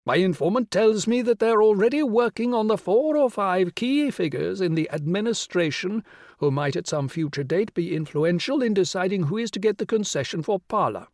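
A man's voice: animated, darkish tone, no room sound, studio quality. A man voicing an imperious royal older woman on the phone, rich and emphatic, dwelling on words. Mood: urgent. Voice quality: rich, emphatic, high put-on voice. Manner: grand, insistent, drawn-out words. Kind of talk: audiobook character voice.

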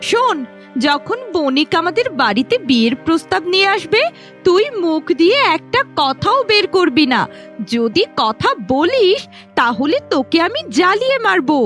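A woman's voice: extremely high pitch, big pitch swings, normal speed, fairly bright tone, soft background music, full character voice. A woman voicing a cruel, gloating bully in a fairy-tale cartoon, threatening as she speaks.

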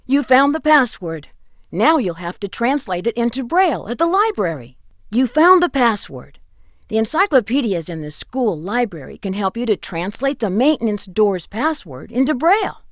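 A woman's voice: a little high, no echo, studio quality. A woman playing a helpful friend who offers hints in a scripted video game. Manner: helpful, suggesting. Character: helpful female friend giving puzzle hints.

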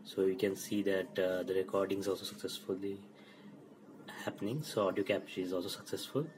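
A man giving an informal hands-on electronics demonstration in a hesitant, halting way. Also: sits low, steady tone, noisy background. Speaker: presenter of an electronics demo.

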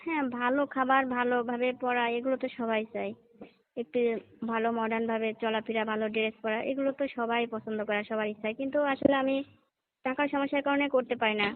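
A woman's voice: a little high, steady tone, fast, dry recording, lightly acted. A young woman playing a poor, hesitant college student in a phone-call drama, deferential and reluctant as she explains herself.

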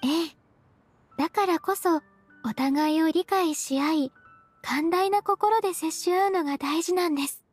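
A polite, considerate young game heroine with a very high, soft, girlish voice. She speaks carefully and soothingly over faint music. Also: measured pace. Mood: gently advising. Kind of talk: game voice acting.

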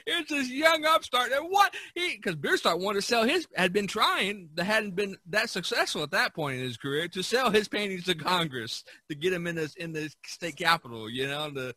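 Bright-voiced, enthusiastic man chatting. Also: high voice, moderate pace, hardly any pauses, no room sound. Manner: enthusiastic, animated storytelling. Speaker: podcast host. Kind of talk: casual art history discussion.

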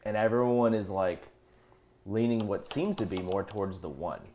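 A young American man with a fairly low voice talks casually in a kitchen. His delivery is halting and hesitant, and there is some faint noise behind him. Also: warm tone. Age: young adult. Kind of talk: casual chat. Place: home kitchen, indoors.

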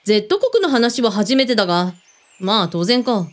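An actress playing a brusque commanding boss of a covert team in an audio drama, speaking in casual, blunt masculine phrasing. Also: female voice, mid-range voice, big pitch swings, measured pace. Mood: probing, wry.

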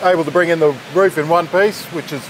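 A man, a factory bay leader explaining his work in a plain, halting way. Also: fairly high pitch, a little hiss or hum, low-quality recording. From Australia. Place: large indoor factory floor.

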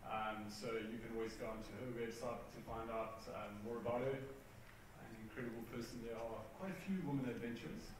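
A man in his fifties giving a relaxed, chatty talk to an audience in a large theatre, laughing often as he takes questions. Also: mid-range voice, fairly quick. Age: 50s. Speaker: adventurer and guest speaker. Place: large theatre hall with tiered seating. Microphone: far camera, no mic visible. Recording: very echoey hall from far off, noisy.

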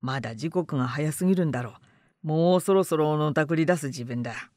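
A woman voicing a crafty, gruff male rogue in a storybook reading, blunt and speaking rough rural dialect. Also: deep voice, fairly quick, a little mellow, no echo, studio quality, voice pushed down, close to an everyday voice. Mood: sly, explaining.

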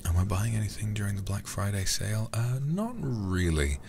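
A man streaming a horror game in ASMR style, speaking in a hushed voice and swearing freely. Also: lowish voice, lively intonation, normal speed, vocal fry, background noise. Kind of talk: ASMR game livestream commentary.

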